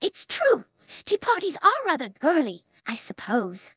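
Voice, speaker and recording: A woman's voice: high-pitched, wildly swooping pitch, unhurried, a bit bright, voice pushed up, exaggerated voice. A woman voicing a refined, gracious young female pony from a cartoon fan story, with a polite, ladylike manner. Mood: teasing, reassuring.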